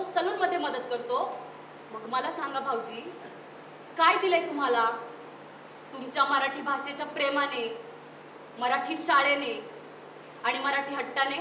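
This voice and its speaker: A woman acts out a young mother in a stage drama, practical and insistent. Her voice is high and bright, projected with emphasis in an echoing hall. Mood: challenging. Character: young mother and sister-in-law arguing practically. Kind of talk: stage play dialogue in Marathi. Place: indoor stage at a youth festival. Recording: roomy hall, distant stage pickup, faint noise.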